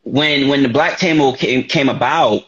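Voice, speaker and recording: A man in his thirties chats casually as a talk show host, stumbling over restarts and tossing in slang. His voice sits at an ordinary pitch and comes through a dull, lo-fi recording. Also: normal speed, a bit bright. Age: 30s. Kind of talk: casual chat.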